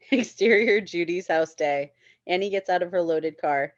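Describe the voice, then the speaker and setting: A woman's voice: lowish voice, no echo, highs cut off. A woman reading screenplay stage directions as narrator at a table read.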